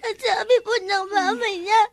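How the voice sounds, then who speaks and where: A woman's voice: very high-pitched, steady tone, measured pace, no room sound. A woman voicing a polite, timid young girl, hesitant and deferential, in Filipino drama acting. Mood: tearful, upset.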